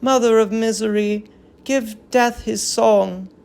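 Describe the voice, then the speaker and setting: A woman's voice: on the high side, fairly even intonation, slow, gravelly, darkish tone, clean tone. A woman voicing a chorus of devoted, mournful captive women, lamenting in chanted verse in a Greek tragedy reading. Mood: wailing.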